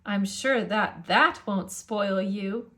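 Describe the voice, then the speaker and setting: A woman voicing an excitable, scheming household cook who exclaims in rhyme in a storybook. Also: medium pitch, slow, pitched below the performer's own voice. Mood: sly, coaxing.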